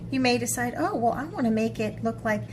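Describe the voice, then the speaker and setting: Woman, children's art instructor, slightly high pitch, casual demonstration, chatty thinking aloud, frequent check-in questions, clearly noisy background with drawing sounds. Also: expressive, brisk, a little mellow. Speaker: art teacher.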